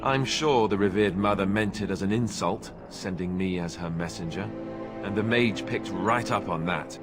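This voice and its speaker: An actor playing a sarcastic, self-deprecating young warrior in a video game, with music behind him. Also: male voice, sits low, normal speed, lightly acted. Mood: friendly banter. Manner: sarcastic, quipping. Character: wry, self-deprecating young male warrior monk-turned-knight. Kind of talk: video game dialogue acting.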